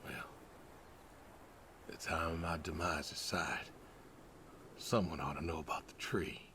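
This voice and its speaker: An actor playing a lonely, aging pig farmer, gentle and resigned, speaking hesitantly and wistfully in a naturalistic game performance. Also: male voice, rumbling low, fairly even intonation, a little slow, noisy background. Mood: pleading. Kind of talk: naturalistic narrative game voice acting.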